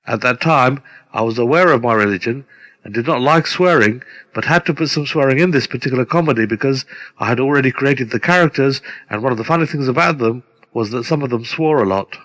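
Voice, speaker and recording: A man with a mid-range voice introduces his own radio play. His delivery is scripted, measured and formal, and it rings with long reverb. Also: mid-range voice, fairly bright tone. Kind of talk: scripted narration. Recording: long reverberant hall sound.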